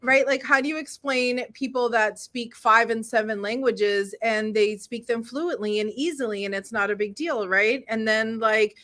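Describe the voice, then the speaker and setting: Woman host, bright tone, a bit high, friendly and affirming. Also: fairly even intonation, moderate pace, hardly any pauses. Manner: chatty and affirming, often agreeing and laughing along. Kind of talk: casual conversation.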